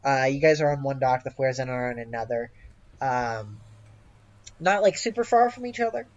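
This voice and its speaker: Game master, mid-pitched, improvised tabletop narration, hesitant phrasing, muffled lo-fi audio. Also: lively intonation. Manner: hesitant, halting delivery. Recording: dull, lo-fi voice recording.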